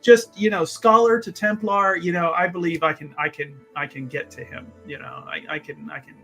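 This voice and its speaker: Someone acting out an eager, stammering young male scholar, bright voice. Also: medium pitch, steady tone, normal speed, gravelly, faint music behind. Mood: earnest, eager. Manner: stammering, repeating words, earnest. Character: eager, awkward scholar, trim young man, misunderstood.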